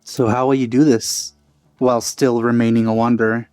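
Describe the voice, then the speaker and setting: A man commentates casually over a game with faint music behind him. His voice is mellow and dry, close to the mic. He speaks in low-key, offhand asides. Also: little pitch movement, moderate pace, clean tone, no room sound. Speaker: let's play host.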